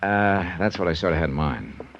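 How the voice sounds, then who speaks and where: A wry American investigator in old-time radio drama. The voice is fairly low and swings freely in pitch, casual yet probing. The sound is dull, like an aged broadcast recording. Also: male voice, animated, fairly quick, a bit bright. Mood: probing, reasoning. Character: shrewd, easygoing male insurance investigator hero. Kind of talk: 1950s radio detective drama acting, dialogue and narration.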